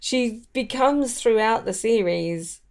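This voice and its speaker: A woman's voice: on the high side, takes it slowly, darkish tone. A chatty woman, hosting a casual, conversational discussion of books. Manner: chatty, giggly. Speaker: podcast host. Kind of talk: casual book chat.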